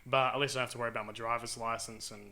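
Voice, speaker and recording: A man tells a laid-back, animated story on a casual podcast. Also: medium pitch, rapid-fire, a crowd in the background. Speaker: podcast co-host.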